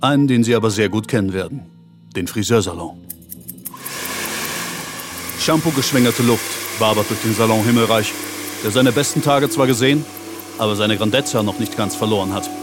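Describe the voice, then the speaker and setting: An actor playing a solemn, theatrical narrator of a retro crime radio play, speaking formally and grandly. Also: male voice, on the low side, lightly acted. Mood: solemn, mysterious. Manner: grandiose, formal, deliberate showman delivery. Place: radio drama studio. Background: music.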